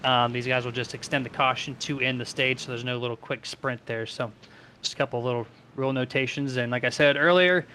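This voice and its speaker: Bright-voiced man calling a race. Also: mid-range voice, steady tone, fairly quick, slight background noise. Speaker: sim racing play-by-play commentator. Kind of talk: live race commentary.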